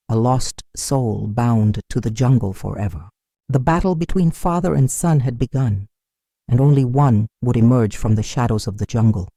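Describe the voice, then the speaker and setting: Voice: medium pitch, warm tone, dry recording. A narrator delivering a dramatic scripted folktale in a solemn, suspenseful manner.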